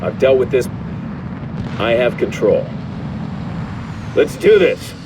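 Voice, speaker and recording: A terse fighter squadron leader, swinging pitch. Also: male voice, big pitch swings, slow pace, noisy background. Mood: tense, determined. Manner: terse, clipped combat callouts. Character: American male fighter squadron leader, intense, determined colonel. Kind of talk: video game military radio dialogue. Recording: loud music behind.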